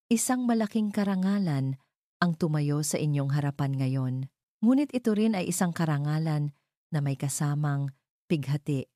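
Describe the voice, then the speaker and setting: Woman voicing a solemn, scheming male CEO, low-voiced. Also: animated, warm tone, dry recording, studio quality. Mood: solemn, gracious. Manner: solemn, measured, speechifying. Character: scheming male CEO addressing his board as grieving widower.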